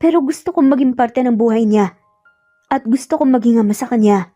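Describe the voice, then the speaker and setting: A woman's voice: fairly high pitch, fairly quick, warm tone, soft background music, natural voice. A woman voicing a serious, demanding man in a romance story, speaking in a firm, pressing, challenging manner. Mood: firm, insistent. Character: serious, demanding adult man confronting his former lover. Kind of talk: dramatic romance audio storytelling.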